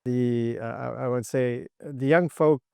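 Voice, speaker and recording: A middle-aged man from Canada giving thoughtful, halting answers as a guest in an interview. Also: medium pitch, steady tone, vocal fry, warm tone, clear, resonant tone, no echo, clean studio sound. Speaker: podcast guest, technology executive.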